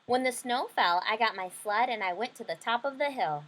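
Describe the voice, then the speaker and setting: A woman's voice: a little high, expressive, normal speed, fairly bright tone, rough recording. A woman playing a young critter boy, a patient but grudging big brother telling his storybook tale. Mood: put-upon.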